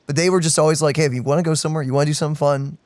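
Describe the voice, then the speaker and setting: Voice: medium pitch, fairly even intonation, racing, a little mellow, no room sound. A let's play commentator chatting casually and playfully, rambling informally while reacting to a game. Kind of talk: casual gameplay reaction chat. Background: faint game music.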